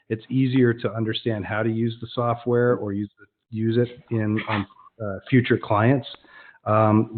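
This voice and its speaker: Dull-sounding webinar recording, conversational man. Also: on the low side. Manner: conversational, explanatory, frequently self-correcting. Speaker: presenter of an online product demonstration. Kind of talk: informal webinar presentation with question and answer. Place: online web conference. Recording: dull, lo-fi online webinar audio.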